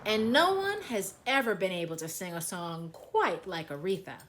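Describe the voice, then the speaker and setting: A woman reading a children's picture book aloud in an expressive storytelling style, phrasing deliberately and leaning on key words. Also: fairly low pitch, slight background noise. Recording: music behind the voice.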